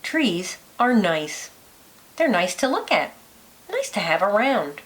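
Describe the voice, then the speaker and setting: Slow, lively woman reading to children. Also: medium pitch, slight background noise. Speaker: teacher reading a picture book aloud. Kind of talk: read-aloud of a children's nonfiction book.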